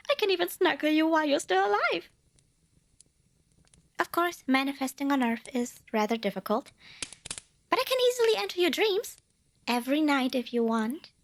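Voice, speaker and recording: A woman playing a cute, eager little demon who craves cuddles, wheedling playfully in a fantasy ASMR roleplay. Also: sits high. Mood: gleeful, persuasive. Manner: playful wheedling salesmanship with flustered self-corrections. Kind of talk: scripted ASMR fantasy roleplay addressed to the listener.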